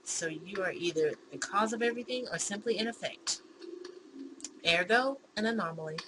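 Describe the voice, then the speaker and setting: A woman's voice: mid-range voice, normal speed, natural voice. A woman voicing a bewildered, argumentative man in a visual novel, with an indignant, pedantic and sarcastic manner. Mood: smug, accusing. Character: bewildered, argumentative man facing his own double. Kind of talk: visual novel character read-aloud. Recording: clear background noise.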